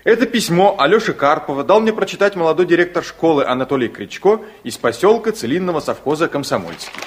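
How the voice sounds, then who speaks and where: An impulsive young son in a 1960s Soviet radio drama. The voice is husky and a little high, with very lively swings of pitch. He comes across as earnest, insistent and big-hearted. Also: quick pace. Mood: pleading, reading aloud. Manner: eager, insistent.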